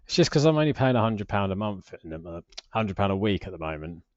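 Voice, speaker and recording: A British man in his forties with a low voice talks casually through a screen share. He rambles and hesitates, often putting himself down. The recording is slightly dull but quite dry. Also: very animated, fast talker, no room sound. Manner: rambling, hesitant, self-deprecating. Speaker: personal finance vlogger.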